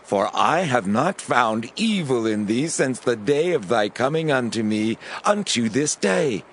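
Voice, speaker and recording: A man playing an earnest, conciliatory Philistine king in a dramatized scripture reading, with measured, stately declamation. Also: mid-range voice, sing-song, slight background noise. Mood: solemn, regretful.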